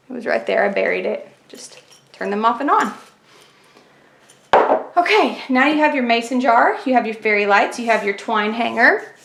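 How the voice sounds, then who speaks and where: A woman's voice: medium pitch, vocal fry, fairly bright tone, slight background noise. A woman hosting a craft tutorial, chatty and step by step, fighting a cold and losing her voice. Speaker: craft channel host. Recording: echoey room, faint kitchen clatter.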